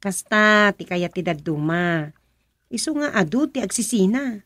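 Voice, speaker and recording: A woman's voice: mid-range voice, very animated, unhurried, fairly bright tone, dry recording, clean studio sound. A woman playing an opinionated, chatty village woman who lectures insistently, in a rural radio comedy-drama. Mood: insistent, moralizing. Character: opinionated village woman gossiping and moralizing about marriage.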